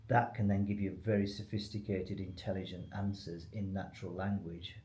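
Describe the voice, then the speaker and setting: A man with a fairly low, slightly soft-toned voice answers as the expert in a business interview. He explains things conversationally, in a clearly echoey room. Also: flat delivery, normal speed. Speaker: company expert being interviewed. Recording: echoey room, faint background noise.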